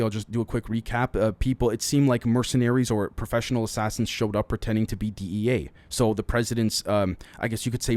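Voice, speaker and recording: A mid-pitched man talks his way through the day's headlines in a loose, conversational style, weighing in with his own opinions and speculation. He keeps turning to address his listeners directly. The recording is close and dry, with little room sound. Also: darkish tone, no echo. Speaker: podcast host. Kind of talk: solo news commentary podcast.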